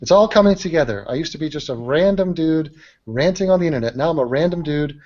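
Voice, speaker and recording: A man's voice: on the high side, expressive, fast talker, darkish tone. A man hosting a casual online group chat, teasing and swearing freely as he banters. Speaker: host of an online chat show. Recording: muffled online call, other voices behind.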